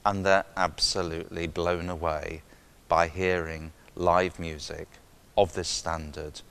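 A man's voice: low voice, flat delivery, a little slow, vocal fry, a bit bright, smooth. A man in his fifties, a senior cleric, answering an interview question inside a cathedral. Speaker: cathedral dean.

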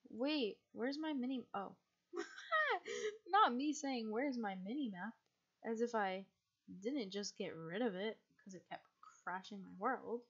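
Chatty husky young American woman streamer. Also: on the high side, animated, slow, muffled recording. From United States. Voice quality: husky and quiet. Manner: chatty, rambling, laughs often. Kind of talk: casual game stream chat.

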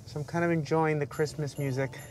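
A man in his forties chatting to the camera from inside a parked car, relaxed and easygoing. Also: fairly high pitch, a little fast, slight background noise. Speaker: delivery driver vlogger. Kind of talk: casual vlog narration. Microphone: clip-on lapel mic.

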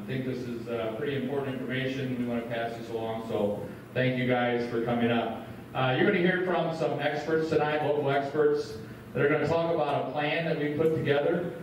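A man's voice: medium pitch, slight background noise. A man who is a school superintendent addresses a community meeting from a podium in a large school room, talking plainly with many filler words. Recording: roomy echo, distant from the mic.

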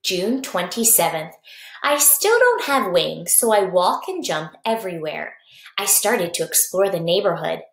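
A small, cocky young praying mantis tells his storybook diary , read by a woman. He is playful and boastful, in short, expressive sentences. The sound is echoey, like a basement room. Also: fairly low pitch, a little slow. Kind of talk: expressive children's storybook reading.

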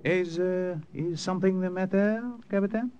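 An actor playing a smooth, nervous French impostor in the resistance, with a French accent, in 1940s radio style. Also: male voice, high voice, fairly even intonation, moderate pace, darkish tone, natural voice. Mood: uneasy. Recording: muffled old radio recording.

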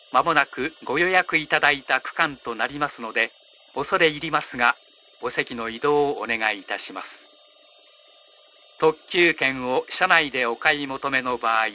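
A male train announcer delivers scripted lines formally and politely. His voice is very bright and forward, at a medium pitch. It comes through onboard speakers, with a light hum and noise behind it. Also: low-quality recording. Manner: formal, polite, precisely enunciated. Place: inside a train, over the PA speakers.

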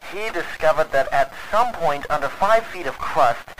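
A man's voice: a little high, animated, a bit bright, a little hiss or hum, rough recording. An actor playing a methodical 1920s radio man, dictating a formal investigation log on an old recording. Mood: methodical, intent. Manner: precise, formal, deliberate. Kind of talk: audio drama in 1920s radio manner.

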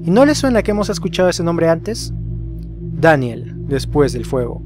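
A man's voice: on the high side, very animated, normal speed. A man narrating a scripted horror case as a storyteller, putting rhetorical questions to the listener. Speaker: narrator of a horror explainer video. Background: music behind the voice.

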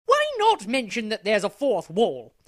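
A man voicing an excitable, naive and easily baffled cartoon character who stammers and exclaims in comedy dialogue. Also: sits high, measured pace, exaggerated voice. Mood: naive, curious.

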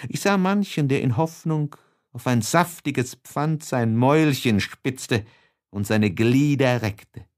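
A man narrating a literary audiobook in an expressive, measured, dramatic way. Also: mid-range voice.

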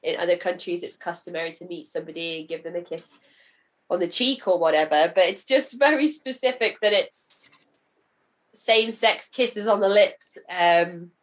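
A woman's voice: on the low side, normal speed. A woman hosting a podcast interview in a casual, chatty and inquisitive way.

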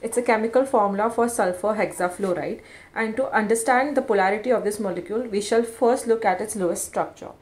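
A woman's voice: mid-range voice, brisk, darkish tone. A woman presenting a scripted explainer in a plain, instructional, step-by-step manner. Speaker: educational video presenter.

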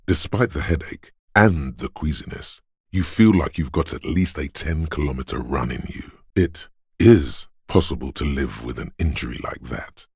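A detective's bodily inner voice for a video game, grave and commanding. It is a bottomless bass, thick with gravel and very husky. Every line lands with solemn, portentous deliberation, like dramatic narration. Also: male voice, a little mellow, no room sound, clean studio sound, full character voice. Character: Endurance, grave inner voice of a battered detective's body.

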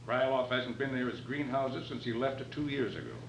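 An older man playing a composed, shrewd private detective in a radio play, reading in a measured, questioning way. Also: mid-range voice, close to an everyday voice. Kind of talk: radio play read from script. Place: function room, live staged reading. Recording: roomy, distant mic, noisy humming tape.

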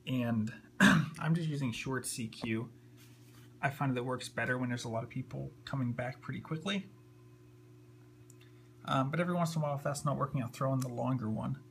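Male hobbyist demonstrator, mid-pitched with vocal fry, offhand unscripted delivery, faint room noise. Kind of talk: casual unscripted tutorial narration. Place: indoors at a computer desk. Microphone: handheld camera, a little off the screen. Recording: faint background hum and noise.

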